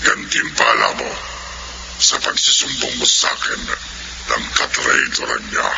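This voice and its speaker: Radio horror villain: vengeful aswang beast, abyssal gravelly growl, muffled under loud music. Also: male voice, a bass voice, flat delivery, unhurried, noisy background, exaggerated voice. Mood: gloating. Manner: menacing, accusing. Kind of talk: Filipino radio horror drama acting.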